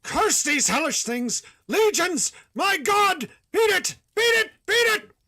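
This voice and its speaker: A man voicing a terrified man trapped underground, yelling frantic, strained warnings from a distance in a horror story reading. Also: very high-pitched, steady tone, takes it slowly, breathy edge, no echo, clean studio sound, voice pushed up, exaggerated voice. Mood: frantic terror.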